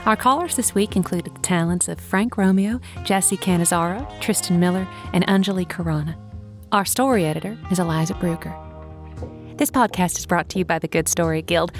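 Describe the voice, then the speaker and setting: A woman playing a chatty, easily flustered lonely-hearts radio host in an audio comedy, halting and informal with repeated words. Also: medium pitch, natural voice. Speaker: radio call-in show host. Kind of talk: audio comedy drama, casual radio hosting.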